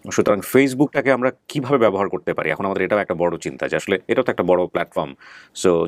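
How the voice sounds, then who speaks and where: A man's voice: deep voice, no room sound. A man hosting an online show, talking earnestly and reflectively to his viewers with fillers and restarts. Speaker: host. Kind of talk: casual talk to viewers.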